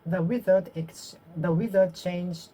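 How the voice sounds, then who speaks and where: A Japanese woman with a Japanese accent practicing English aloud to herself, halting and repeating phrases as she corrects them. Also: on the low side, fairly even intonation, mellow. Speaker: vlogger. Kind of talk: solo English speaking practice, talking to herself.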